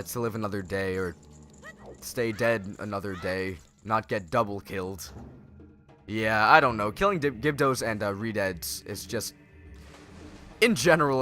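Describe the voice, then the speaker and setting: Young man, nasal from a cold, bright forceful voice, rambling sarcastic game commentary, faint music behind. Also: on the low side. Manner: rambling and sarcastic, self-correcting. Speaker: let's play host. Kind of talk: casual gameplay commentary.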